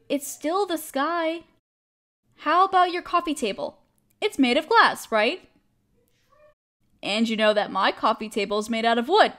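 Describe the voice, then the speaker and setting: A woman playing a caring, protective best friend in a comforting audio roleplay, speaking earnestly and reassuringly, straight to the listener. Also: high voice.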